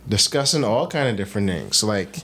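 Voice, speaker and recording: A man with a Bahamian accent hosting a podcast in casual, teasing, animated group banter. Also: lowish voice, fairly quick, reverberant. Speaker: podcast host.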